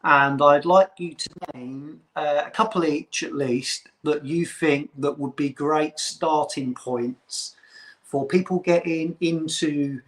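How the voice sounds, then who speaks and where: A man's voice: a little high, fairly even intonation, slow pace, rough recording. A man hosting a live-stream interview, chatty and eager with his questions. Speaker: host.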